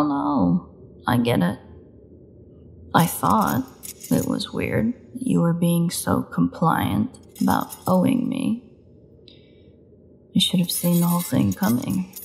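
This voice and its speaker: A woman playing a starving, captive vampire in an audio roleplay, sardonic and teasing, often dropping into breathy half-whispers. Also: lowish voice, a little mellow, a little hiss or hum, heavily acted. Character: starving captive female vampire, taunting, flirtatious, desperate. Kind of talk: scripted audio roleplay monologue.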